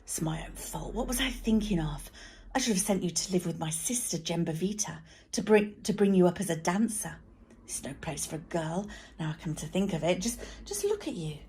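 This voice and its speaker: A man's voice: sits high, fairly quick, darkish tone, husky. A woman voicing an exasperated, protective father who scolds and blusters in a storybook reading. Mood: regretful scolding.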